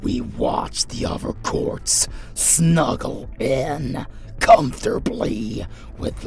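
An actor playing an ancient, weakened vampire lord in a dark audio drama, bitter and menacing, speaking in a strained, theatrical half-whisper. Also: male voice, fairly low pitch, creaky, full character voice. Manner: slow, theatrical, drawn-out. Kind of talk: dark fantasy audio roleplay. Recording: music bed under voice.

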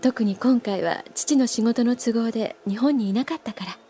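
A woman's voice: on the high side, normal speed, dark tone, a little hiss or hum, lightly acted. A woman playing an elegant, composed older schoolgirl with refined, ladylike poise in an anime audio drama. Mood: gently concerned.

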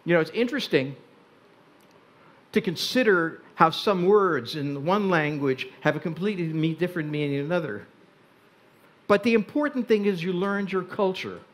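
An older Hungarian-born man giving a wry, deliberate talk on a stage in a large tent before an audience. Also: sits high, lively intonation. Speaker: speaker.

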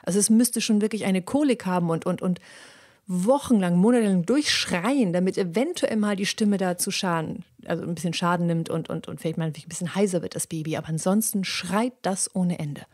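A woman hosting a podcast, explaining how the voice works in a lively, chatty monologue with many fillers and repeats. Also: on the low side. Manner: lively, acts out little dialogues in quick voices; frequent fillers and repeated words. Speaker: podcast host and voice coach.

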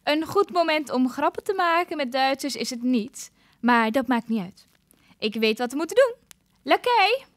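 A woman's voice: sits high, big pitch swings, normal speed. A young woman playing a haughty, bored, callous queen in a 1950s-style radio play, imperious and stately. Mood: commanding, then impatient, commanding. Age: young adult. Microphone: close studio condenser microphone. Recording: roomy sound with faint noise.

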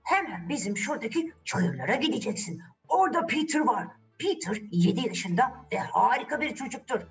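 Woman as kindly old grandfather, animated delivery. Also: a little high, a little fast, faint music behind. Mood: encouraging. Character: gruff-looking but kindly old grandfather.

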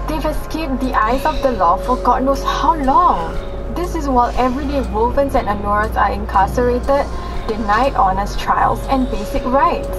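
An actress playing a brisk, opinionated radio host in an audio drama. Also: female voice, fairly high pitch, lightly acted, rough recording. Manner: brisk, editorial. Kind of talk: radio broadcast within drama.

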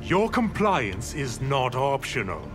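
An actor voicing a scheming, pale male sorcerer in a fighting game, speaking in a smooth, condescending way. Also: medium pitch, very animated, slow pace. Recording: game audio with music behind.

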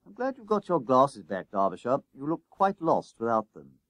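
A man voicing a kindly, calm schoolmaster, measured, courteous and gently wry, in an audiobook story. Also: mid-range voice, dry recording, natural voice. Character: kindly, polite, calm middle-aged schoolmaster.

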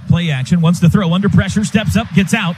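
A man calling live football on the radio as play-by-play announcer, in clipped, rapid-fire phrases. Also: high voice, darkish tone. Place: stadium radio booth. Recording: noisy stadium broadcast.

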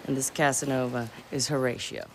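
A woman's voice: a bass voice, fairly even intonation, fairly quick, gravelly, a little hiss or hum, poor audio. A woman playing a cool young hacker in a game, with a dry, teasing manner. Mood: wry. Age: young adult. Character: cool, wry young female hacker Sitara. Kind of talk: video game cutscene acting.

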